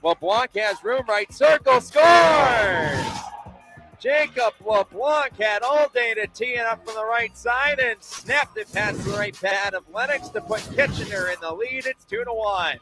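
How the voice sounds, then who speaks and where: A man calls hockey as a play-by-play announcer in a high, energetic voice. His commentary is excited and live, with music in the sound behind him. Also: unhurried, rough recording. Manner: energetic, rising to shouts at big moments.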